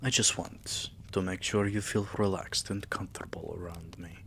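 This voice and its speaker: A man playing a tender, possessive vampire king in an intimate roleplay ASMR, speaking softly and breathily with courtly endearments and often dropping into a whisper. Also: sits low, steady tone, moderate pace, gravelly, a little hiss or hum. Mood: reassuring, affectionate. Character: tender, possessive male vampire king doting on his human pet.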